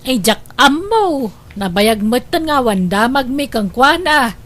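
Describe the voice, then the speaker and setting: A woman's voice: high-pitched, expressive, unhurried, fairly bright tone. A woman with a Filipino accent acting an aggrieved, fretting grandmother in a radio drama. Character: aggrieved grandmother, fretting over her sacrificing daughter.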